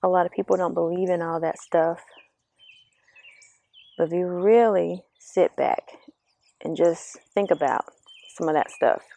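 A woman giving a reflective, earnest motivational talk outdoors, speaking slowly and asking the listener questions, her voice slightly raspy. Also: on the low side, warm tone. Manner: slow, earnest, coaching, asks the listener questions. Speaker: vlogger giving spiritual encouragement. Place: outdoors among birds. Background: birdsong.

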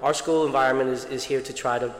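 A man's voice: medium pitch, fairly even intonation, fast, roomy sound, big-room sound. A man on the school staff interviewing a colleague, supportive and often restarting his sentences. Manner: supportive, repeats words as he restarts. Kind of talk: interview, informational outreach.

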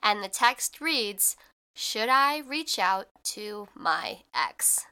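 Young woman, podcast host, congested, nasal, bright and tense tone, smooth clear voice, chatty. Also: medium pitch, big pitch swings, drawn-out words. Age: young adult. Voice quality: more nasal than usual. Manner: chatty, lots of filler "like". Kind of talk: casual chat.

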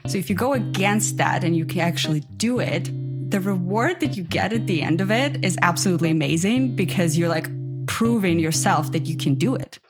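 A woman's voice: fairly low pitch, lively intonation, a little fast, over music. A woman from Armenia who grew up in Ukraine, a life coach speaking as a guest in a casual interview in a reflective, earnest way. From Armenia, raised in Ukraine.

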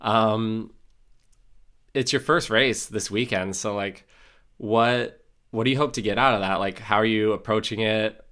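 A man's voice: fairly low pitch, measured pace, fairly bright tone. A young man hosting a sports podcast, chatting casually and enthusiastically, full of "like" and "super". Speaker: podcast host. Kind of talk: casual interview chat.

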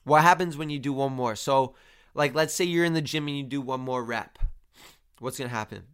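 A man's voice: mid-range voice, brisk, a bit bright, smooth. A congested, slightly tired man with a nasal voice, hosting a motivational fitness podcast in an earnest, repetitive pep-talk manner. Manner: earnest pep talk, repetitive emphasis, often addressing listeners as guys. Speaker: host and fitness coach.

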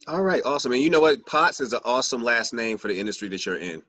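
A man's voice: medium pitch, expressive, fast, a bit bright. A man hosting a casual podcast interview, chatty and upbeat with loose restarts. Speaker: podcast host.

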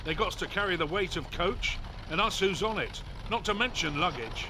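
A man's voice: sits high, lively intonation, normal speed, noisy background. Swooping, brash coachman. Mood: matter-of-fact. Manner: rustic dialect, gruffly plain-spoken. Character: brash, reckless, blunt 1820s stagecoach driver. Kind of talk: period audio drama acting.

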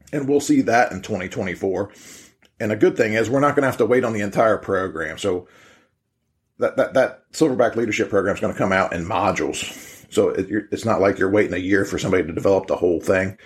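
An American man talking informally on a podcast as a training program leader, explaining in a conversational, rambling way and repeating phrases as he goes. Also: fairly low pitch.

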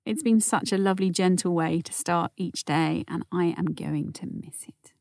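Soft-spoken woman podcast host, dry sound. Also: fairly low pitch, normal speed, vocal fry, dry recording. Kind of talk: gentle podcast narration reading listener messages.

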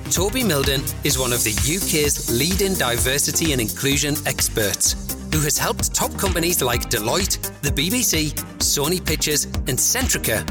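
An announcer reading a scripted, persuasive ad spot. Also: mid-range voice. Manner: persuasive, emphatic. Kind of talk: scripted promotional read. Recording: read-out over music.

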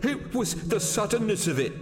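A man voicing a nervous, servile waiter in an audiobook, high and breathless, with a stammering, sheepish manner. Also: big pitch swings, normal speed, fairly bright tone, big-room sound. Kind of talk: audiobook character acting.

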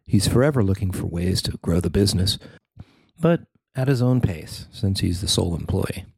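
A man narrating a newspaper feature he wrote, in a measured, even reading. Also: low-pitched, vocal fry, slightly rough, dry recording. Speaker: newspaper columnist reading his story. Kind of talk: scripted narration.

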